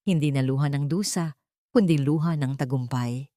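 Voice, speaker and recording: A woman storyteller giving a measured, dramatic narration of a scripted story. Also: low-pitched, mellow, no echo, studio quality.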